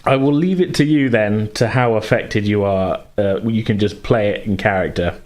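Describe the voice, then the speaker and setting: A man's voice: fairly low pitch, moderate pace, a little mellow. A man casually refereeing a tabletop game as game master, hesitant and easygoing as he thinks aloud.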